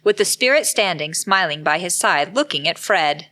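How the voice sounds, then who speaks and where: A woman narrating a scripted dramatic story with measured, deliberate phrasing. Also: fairly low pitch, expressive, very noisy.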